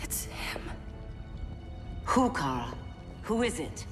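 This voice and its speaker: A woman's voice: sits low, big pitch swings, slow, over music, full character voice. A woman playing a resolute, solemn heroine in a video game. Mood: alarmed, hushed. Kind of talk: video game cutscene acting.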